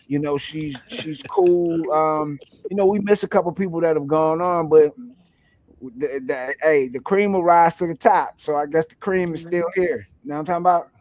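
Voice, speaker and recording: A man's voice: fairly high pitch, normal speed, darkish tone. A middle-aged man talking casually and from the heart as a panel guest, slangy and emphatic, repeating his phrases. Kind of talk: casual, heartfelt interview talk.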